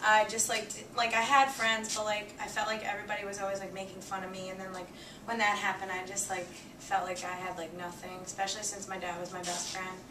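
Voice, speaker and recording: A woman's voice: mid-range voice, little pitch movement, fast. Rambling twenty-year-old woman, distant classroom mic. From New Jersey, USA. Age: 20s. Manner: rambling, leans heavily on "like". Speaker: student giving a class speech. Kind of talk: casual personal introduction speech. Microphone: far camera. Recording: echoey classroom from far off with noise.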